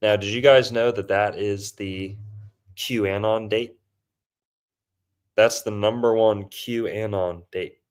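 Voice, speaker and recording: Male podcast host, somewhat low voice, loose rambling delivery, casual talk. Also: steady tone, slow, pauses often, clear, resonant tone. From Florida. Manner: rambling, loose, filler-heavy. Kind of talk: casual conspiracy movie breakdown.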